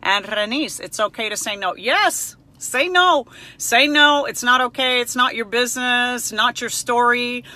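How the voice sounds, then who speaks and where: A woman's voice: a little high, unhurried, pushed and bright. A middle-aged woman, a native Spanish speaker, chatting casually and frankly as a counselor answering viewers on a live stream. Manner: chatty, personable, emphatic, frank.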